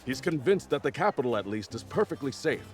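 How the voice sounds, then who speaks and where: An actor playing a weary, earnest army general in a video game, speaking formally and with measured care. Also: male voice, medium pitch, sing-song, lightly acted. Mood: weary, resolute. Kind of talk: dramatic video game voice acting. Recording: music behind the voice.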